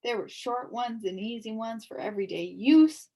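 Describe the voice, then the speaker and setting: Animated, breathy woman reading aloud. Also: on the high side, normal speed. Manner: expressive, animated storytelling. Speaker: storybook reader. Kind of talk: reading a children's novel aloud.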